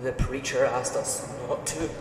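A man voicing a calm, polite, murderous asylum twin in amateur game lines, formal and measured. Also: medium pitch, roomy sound, long reverb tail, background noise, natural voice. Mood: coolly menacing.

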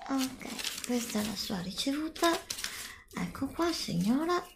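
A middle-aged woman whispering softly in an ASMR roleplay as a nutritionist, giving instructions in a drawn-out way. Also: sits high, very animated, gravelly, very noisy. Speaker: ASMR creator role-playing a nutritionist.

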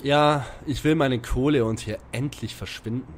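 A man with a husky voice playing a blunt, impatient amateur detective in a parody audio play. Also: mid-range voice, moderate pace, lightly acted. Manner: blunt, direct. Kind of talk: parody audio drama acting.

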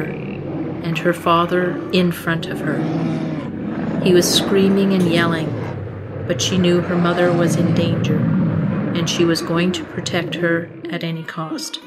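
A woman narrating a scripted story for a short film in a measured, solemn way. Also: on the low side, level tone, very noisy.

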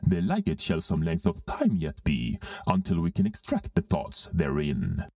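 Very deep sinister dark lord, acted by a man. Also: huge pitch swings, moderate pace, creaky, no echo, studio quality, distant mic, exaggerated voice. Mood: stern, imperious. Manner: grandiose, declaiming archaic verse. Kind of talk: amateur Shakespearean dramatic reading.

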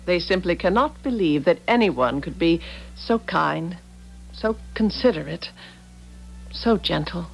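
A devoted, trusting wife in a 1970s radio play. She speaks in a lowish female voice with very expressive intonation, over faint music. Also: measured pace, vocal fry, poor audio. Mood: trusting, admiring. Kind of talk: 1970s radio drama acting. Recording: old radio drama, faint music behind.

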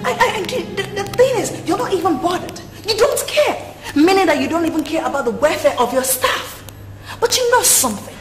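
A high, wildly swinging voice in an echoey room: a haughty Nigerian princess in a screen melodrama. She is hot-tempered and imperious, quick to scold and insult. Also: big pitch swings, soft background music. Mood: confrontational, menacing. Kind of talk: melodramatic film acting. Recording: echoey room, noisy location sound.

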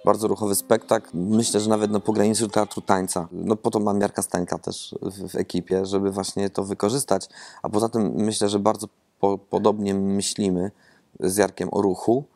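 A man's voice: fairly low pitch, flat delivery, moderate pace, creaky, darkish tone, no echo. A man in his thirties, a theatre director, giving rambling, thoughtful interview answers with many hesitations and repeated words, seated in an empty theatre auditorium and speaking into a lapel mic.

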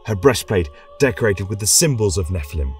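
A man narrating a scripted fantasy tale as a podcast storyteller, solemn, dramatic and measured. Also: on the low side, animated, breathy edge, distant mic. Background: choral music.

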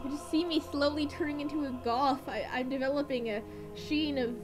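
A woman's voice: high-pitched, fairly even intonation, a little fast, over music. A woman in a casual comic monologue, speaking in a melodramatic, hesitant way.

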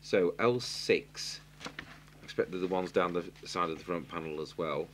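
Deep-voiced Englishman, halting workbench tutorial. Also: a bit bright. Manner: halting, matter-of-fact, thinking aloud while working. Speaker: radio repair technician giving a demonstration. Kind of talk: unscripted hands-on tutorial narration. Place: indoor electronics workbench. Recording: clear hiss and electrical hum.

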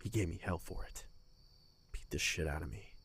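An actor playing a heartbroken, bitter young servant in an audio drama, moving between hushed hurt and emotional outburst. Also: male voice, sits low, moderate pace, creaky, breathy edge, exaggerated voice.

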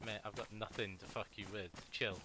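A man with a low voice and a Welsh accent chats casually as he plays an online game. There is clear noise behind him, with game ambience. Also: fairly even intonation, fairly quick. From Wales. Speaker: video game player. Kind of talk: casual chat during online gameplay.

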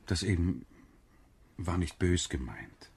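A man's voice: low-pitched, animated, slow pace, creaky, husky, heavily acted. A man in a German radio drama playing a stern, blustering yet doting middle-aged father. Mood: gentle, coaxing.

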